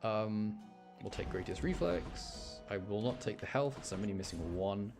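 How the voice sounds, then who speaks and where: A man with a fairly low voice gives casual gameplay commentary on a live stream. He moves between animated reactions and easygoing, rambling explanation, with music clearly playing behind him. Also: moderate pace.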